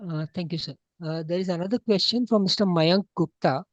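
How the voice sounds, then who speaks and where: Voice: medium pitch, brisk, no echo. A moderator politely and formally reads out investor questions on a call. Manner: polite, deferential. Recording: dull, lo-fi call audio.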